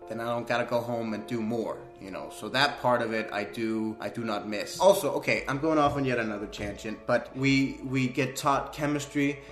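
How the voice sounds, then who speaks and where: A man's voice: mid-range voice, moderate pace, hardly any pauses, soft background music. A young man in his twenties from Denmark, speaking English with a Danish accent, chatting loosely and rambling in a casual reaction vlog from a small room. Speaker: YouTuber. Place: small indoor room at a desk. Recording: echoey room.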